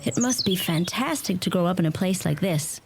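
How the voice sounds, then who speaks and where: An actress playing a young American visitor in a radio play, polite, sympathetic and curious. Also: female voice, fairly low pitch, lively intonation, moderate pace, noisy background. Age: young adult. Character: gentle, curious young American woman visiting rural Ireland.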